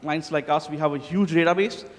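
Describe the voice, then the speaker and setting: A man's voice: a little high, a little fast. A man from India giving a casual, joking conference presentation, with many filler sounds as he talks. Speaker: conference speaker. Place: large conference hall. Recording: hall reverb.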